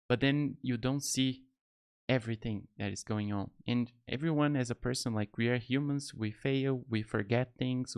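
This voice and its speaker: A man's voice: mid-range voice, vocal fry, smooth. A man in his thirties from Brazil with a Brazilian Portuguese accent, talking casually to camera as a tutorial host, friendly and rambling with lots of fillers, recorded in a small home studio on a close mic. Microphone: close studio mic on boom arm.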